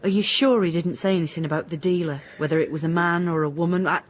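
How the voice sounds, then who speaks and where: Over faint background noise, an actress plays a British woman detective inspector in a naturalistic radio drama. Her voice sits fairly low, and she is weary, frank and dryly brisk. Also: lightly acted. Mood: firm. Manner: dry, frank, questioning.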